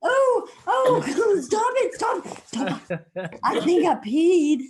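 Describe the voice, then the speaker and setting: A woman's voice: extremely high pitch, sing-song, drawn-out words, a little mellow, heavily acted. An actress playing a motherly older sister from a rural coal family in a stage play, folksy and playful, with an Appalachian accent. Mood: silly, giggly.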